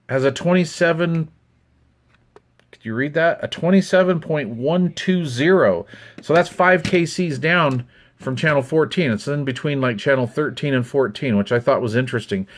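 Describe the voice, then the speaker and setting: A man's voice: a little high, normal speed, pauses often, a little hiss or hum. A man in his fifties with a Western American accent, chatting in a rambling, conversational way as he shows off a hobby find. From United States, Utah. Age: 50s. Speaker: hobbyist video host. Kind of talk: casual hands-on show-and-tell. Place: indoors at a wooden desk.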